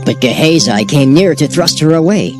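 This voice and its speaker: A man narrating scripture in a measured, solemn dramatized reading. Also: fairly high pitch, lively intonation. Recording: music bed behind voice. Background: music.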